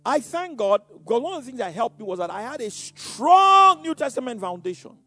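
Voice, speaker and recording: High-voiced older Nigerian preacher. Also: male voice, sing-song, brisk, pushed and bright, no room sound. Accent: Nigerian Yoruba. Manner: repeats words, frequent "you know" fillers. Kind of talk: sermon-like personal testimony.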